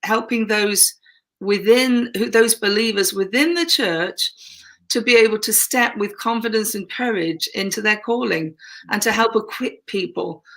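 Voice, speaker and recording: Woman, gravelly voice, bright tone, rambling with fillers, casual faith talk, church room. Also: medium pitch, unhurried. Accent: non-Yorkshire. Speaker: ministry leader and co-host. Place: converted church tower room.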